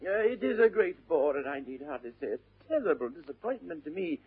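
A flippant, upper-class English man about town, delivers airy stage-comedy wit. His pitch darts about with theatrical glee and the voice is slightly husky, on a muffled vintage radio recording. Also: very animated, fairly quick, heavily acted. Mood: smoothly apologetic. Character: flippant, witty upper-class young bachelor nephew.

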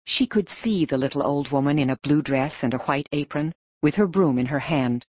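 A woman narrating an audiobook story in an expressive storytelling manner. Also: sits low, normal speed, dry recording, dull audio.

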